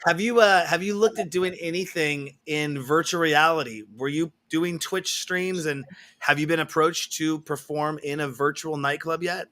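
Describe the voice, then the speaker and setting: Bright, friendly male interview host. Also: fairly high pitch. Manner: friendly, reads viewer questions, quick asides. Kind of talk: casual video-call interview.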